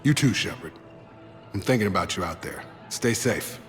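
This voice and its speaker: A man's voice: deep voice, lively intonation, normal speed, fairly bright tone. A man playing a loyal, easygoing ex-soldier in a video game, talking earnestly and familiarly with a comrade. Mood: earnest, hopeful. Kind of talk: conversational game dialogue. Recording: reverberant, music behind.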